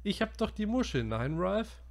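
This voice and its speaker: A man voicing a chubby, anxious schoolboy who pleads in a high, whiny, breathless way. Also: very animated, normal speed, vocal fry, exaggerated voice. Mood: worried.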